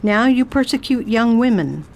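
A woman's voice: on the high side, animated, moderate pace, darkish tone. Self-assured English lady traveller, a woman acting. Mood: commanding, scornful. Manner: formal, old-fashioned, self-assured. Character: imperious, curious English lady traveller writing a book. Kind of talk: audio drama acting and diary narration.

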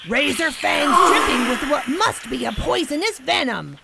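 A woman voicing a wisecracking talking animal companion in a radio play, with creaky tone and dry, sardonic quips. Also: sits high, animated, moderate pace, heavy background noise, heavily acted. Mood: alarmed. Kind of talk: theatrical radio drama acting.

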